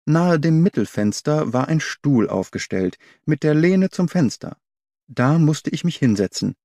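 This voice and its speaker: A man reads a literary story as a narrator, in measured, clearly articulated phrases. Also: a little high, expressive, dark tone, no echo, clean studio sound. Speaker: audiobook narrator. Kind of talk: scripted literary narration, audiobook reading.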